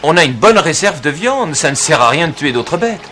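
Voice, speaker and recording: An actor voicing a loyal, earnest clan member who speaks firmly in a cartoon dub. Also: male voice, a little high, big pitch swings, normal speed, sharp tone, soft background music. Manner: firm, earnest.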